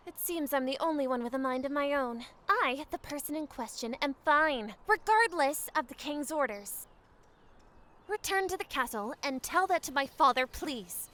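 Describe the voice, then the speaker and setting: Woman acting a young princess, high-pitched, bright tense tone, formal, haughty, headstrong, fantasy game cutscene. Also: lively intonation, moderate pace. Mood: indignant.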